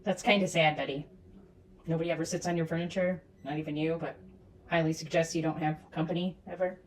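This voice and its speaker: Distant, low-pitched woman giving sarcastic commentary. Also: fairly even intonation, fairly quick, a little hiss or hum. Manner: sarcastic, disapproving. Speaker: commentator reacting to clips of a streamer. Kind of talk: critical reaction commentary.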